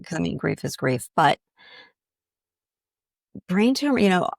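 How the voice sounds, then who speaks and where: A woman's voice: on the low side, sing-song, measured pace, creaky, no room sound, clean studio sound. A middle-aged woman from Boston with a slight Boston accent, chatting as a grief coach on a podcast and animatedly acting out inner voices. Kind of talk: casual podcast conversation.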